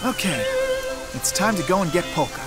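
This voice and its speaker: A laid-back, wisecracking young man from a game cutscene, middle in pitch but lively in his intonation. Game music plays clearly behind him. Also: brisk. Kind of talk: video game cutscene voice acting.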